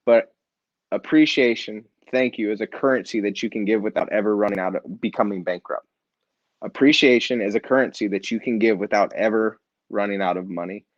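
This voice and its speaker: A man, a nonprofit founder, telling his story in an interview as an earnest, animated storyteller who acts out the people he quotes. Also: fairly low pitch, a little fast, no room sound, muffled recording.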